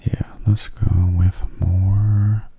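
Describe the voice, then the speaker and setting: A man giving soft-spoken ASMR video game commentary in a breathy, hushed, often half-whispered voice, gentle and understated. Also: on the low side, level tone, drawn-out words.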